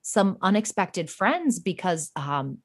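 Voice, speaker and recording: A woman's voice: fairly low pitch, very animated, slow, breathy edge. A young woman guest on a podcast, chatting casually in an effusive, slangy way with lots of "like". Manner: effusive, slangy, peppers talk with "like". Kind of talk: casual chat.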